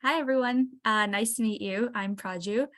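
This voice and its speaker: A woman's voice: mid-range voice, moderate pace, fairly bright tone. A woman in her twenties giving a casual online talk as a guest speaker, chatty with frequent ums and restarts. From Seattle, Washington, US. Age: 20s. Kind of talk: casual presentation.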